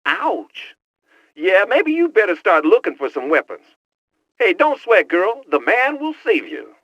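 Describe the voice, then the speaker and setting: An actor with an American accent voicing a wisecracking, streetwise, excitable tech sidekick in a video game, with slangy, cocky banter. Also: male voice, sits high, measured pace, no echo, dull audio, exaggerated voice. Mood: cocky, instructive. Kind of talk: video game cutscene voice acting.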